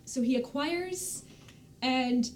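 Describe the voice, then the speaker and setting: A woman's voice: slow pace, darkish tone. A woman on a livestream panel, casually chatting and explaining a recommendation. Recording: faint low rumble behind voice.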